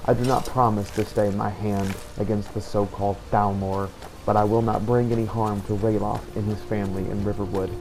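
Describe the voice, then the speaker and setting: A man voicing a solemn, devout warrior who hunts the undead, narrating a game journal in measured, formal words. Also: on the low side, little pitch movement, a little mellow, faint music behind, lightly acted, low-quality recording. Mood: disdainful, resolute. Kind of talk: in-character journal narration over a video game. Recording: noticeable background noise.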